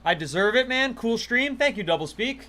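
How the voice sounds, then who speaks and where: A man's voice: very high voice, animated, normal speed, sharp tone. A man casually chatting on a gaming livestream, playful and talkative, thanking viewers as he plays. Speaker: livestream gamer.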